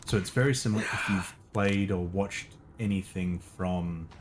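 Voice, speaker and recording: A man's voice: low-pitched, fairly even intonation, normal speed, noisy background. A male streamer giving casual gameplay commentary, thinking aloud and muttering to himself.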